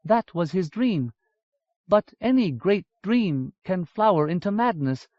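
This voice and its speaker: A man voicing a sorrowful, ageless immortal elf woman, speaking in a grave, lyrical, measured way. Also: high voice, very animated, darkish tone, dry recording, pitched above the performer's own voice. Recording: dull lo-fi audiobook recording.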